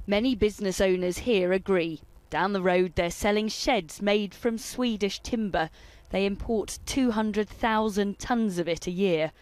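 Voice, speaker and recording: A woman news reporter narrating a television report with a measured, clear delivery. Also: mid-range voice, a little mellow.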